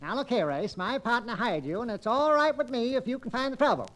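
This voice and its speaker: A blunt, stubborn business partner. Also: male voice, extremely high pitch, expressive, brisk, a bit bright. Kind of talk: 1940s radio acting.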